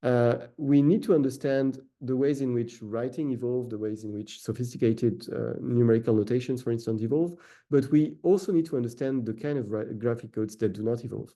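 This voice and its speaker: A man's voice: mid-range voice, steady tone, a little fast, mellow. A man whose first language is French, speaking with a French accent, giving an academic lecture with many "uh" fillers and self-corrections. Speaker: researcher giving a talk.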